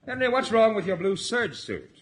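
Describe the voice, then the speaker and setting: A man playing a firm, exasperated middle-aged father with a formal, measured manner in a 1940s radio comedy. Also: high voice, sing-song, slightly rough, heavily acted.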